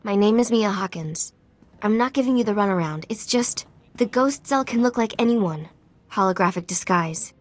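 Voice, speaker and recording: A woman's voice: medium pitch, animated, normal speed, natural voice. A woman playing a frightened but earnest former rebel in a video game, hesitant and restarting her sentences. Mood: nervous, earnest.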